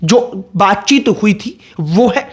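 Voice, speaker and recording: A man's voice: sits high, lively intonation, slow. Man explaining political news. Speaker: political commentator, host.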